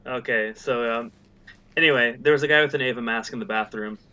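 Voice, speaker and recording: A bright-toned man rambles through a casual podcast chat, full of likes and ums. The audio is dull and lo-fi. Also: mid-range voice, fairly quick, smooth. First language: not Japanese. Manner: rambling, filler-heavy, laughs often. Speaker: podcast guest. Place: in Japan.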